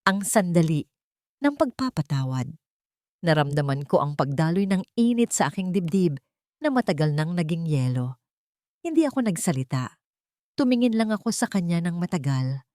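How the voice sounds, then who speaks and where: A woman's voice: on the low side, sing-song, normal speed, long pauses, mellow, no echo, clean studio sound. A woman with a Filipino accent reading a scripted dramatic story in the first person as a narrator.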